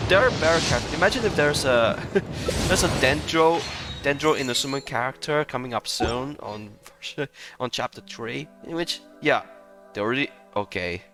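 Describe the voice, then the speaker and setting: A man giving casual live gaming commentary in a halting, repetitive way, often breaking into chuckles. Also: medium pitch. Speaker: game streamer. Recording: loud music under the voice.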